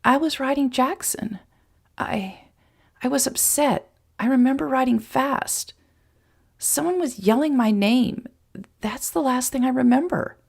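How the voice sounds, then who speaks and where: A woman reading an injured, anxious young woman who speaks softly and often near a whisper. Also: high-pitched, a little slow, gravelly, pitched above the performer's own voice, heavily acted. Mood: hurt, anxious. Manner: soft, strained, often whispery.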